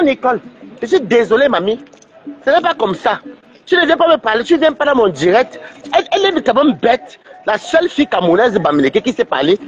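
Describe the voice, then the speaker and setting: A man's voice: high-pitched, lo-fi sound. A man with a cold, rambling and scolding on a livestream, with many stumbling restarts. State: stuffy with a cold.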